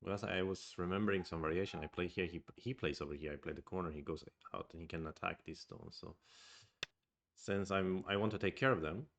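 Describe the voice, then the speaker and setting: A man's voice: low voice, brisk, vocal fry, noisy background. A man with a Swedish accent streams his go game, thinking aloud in a hesitant, musing way. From Sweden. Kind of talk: live-streamed game commentary.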